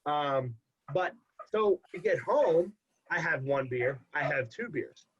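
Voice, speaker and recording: A young man in casual podcast talk, telling a long story with animated repeats for emphasis. His voice sounds congested. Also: fairly high pitch, slow. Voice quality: husky, congested. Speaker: podcast guest. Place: home. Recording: dull lo-fi podcast audio.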